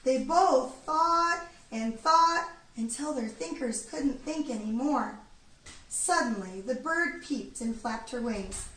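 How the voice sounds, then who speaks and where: A woman reading a children's storybook aloud in an expressive, deliberate storytelling manner. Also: sits high. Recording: echoey room, distant mic, background noise.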